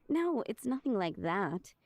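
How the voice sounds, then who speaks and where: Teasing, higher-pitched tavern boss. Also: female voice, sing-song, takes it slowly, a little mellow, no echo, heavily acted. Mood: breezy, wry. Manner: teasing, nonchalant. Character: playful, teasing woman tavern boss, breezy and wry. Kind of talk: video game voice acting.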